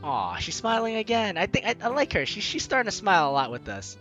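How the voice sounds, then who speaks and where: A man's voice: high voice, big pitch swings, fast, sharp tone, muffled recording, faint music behind. A man hosting a gaming video, playfully mixing jokey commentary with theatrical read-aloud narration.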